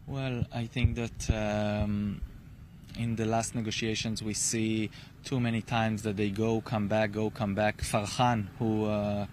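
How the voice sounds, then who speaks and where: A man's voice: on the low side, level tone, measured pace, a little mellow. An Israeli-accented man, a native Hebrew speaker, giving earnest, emphatic interview answers in English outdoors. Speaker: interviewee, hostage's grandson. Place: outdoors near a rural kibbutz. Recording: noisy outdoor field recording.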